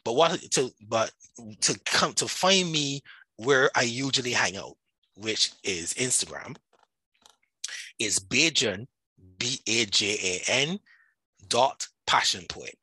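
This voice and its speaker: A man from Barbados with a Barbadian accent, chatting casually about his own work in an animated, emphatic way full of asides. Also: medium pitch, slow, slightly rough, no room sound, rough recording. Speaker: podcast guest, writer and self-publishing coach. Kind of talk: casual conversational self-promotion.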